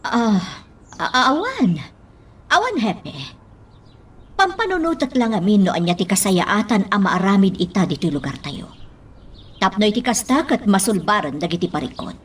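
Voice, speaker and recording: A woman's voice: mid-range voice, lively intonation, moderate pace, husky. A woman playing a troubled, earnest mayor in a radio drama, with an Ilocano accent.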